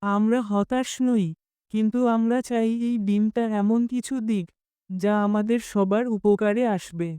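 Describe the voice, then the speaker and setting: A woman's voice: mid-range voice, fairly even intonation, dark tone, smooth, no room sound, natural voice. A woman voicing a firm, sensible child among friends in a children's cartoon. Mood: sincere. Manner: firm, measured.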